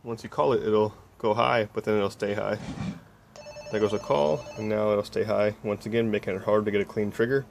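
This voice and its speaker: A man with a fairly low voice talks through a hands-on project in a very even, flat tone. He explains informally, step by step, like a casual update. There is clear noise on the home-made recording. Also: a little fast. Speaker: electronics hobbyist.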